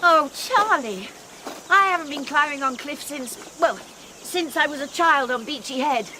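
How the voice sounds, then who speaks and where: A proper spinster. She speaks crisply and properly in a slightly high voice. Faint noise runs beneath. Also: animated. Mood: nervous. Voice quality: prim, well-spoken and brisk. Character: prim proper English spinster, brisk and devout. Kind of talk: radio drama acting.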